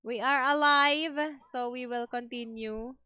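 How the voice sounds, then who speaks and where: A woman's voice: a little high, fairly even intonation, unhurried, pushed and bright, clean tone, no room sound, clean studio sound. A woman with a Filipino accent chatting casually as a live game streamer, switching between languages. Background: game music.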